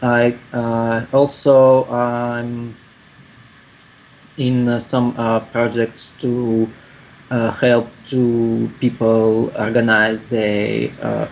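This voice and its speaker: A man in his thirties from Russia with a Russian accent, answering interview questions in halting English full of "uh" fillers, over a webcam video call in a small room. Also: medium pitch, completely flat delivery, muffled recording. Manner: halting, many "uh" fillers, searching for English words. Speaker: human rights activist, interviewee.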